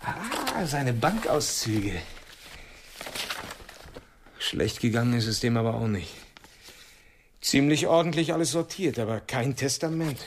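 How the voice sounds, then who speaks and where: A sardonic police detective in a crime radio play. His mid-range voice is casual, with clear rustling noise and clicks around it. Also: medium pitch, sing-song, unhurried, pauses often. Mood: sardonic, matter-of-fact. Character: sardonic male police detective rummaging through papers. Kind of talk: radio drama dialogue.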